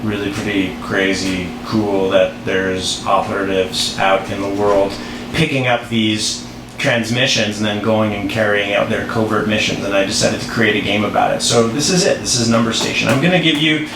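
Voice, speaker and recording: A man's voice: lowish voice, steady tone, moderate pace. Guy doing a casual rambly how-to, gets sidetracked, roomy echo and background noise. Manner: rambling, easily distracted, self-interrupting asides. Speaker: board game designer presenting a tutorial. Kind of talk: informal unscripted tutorial.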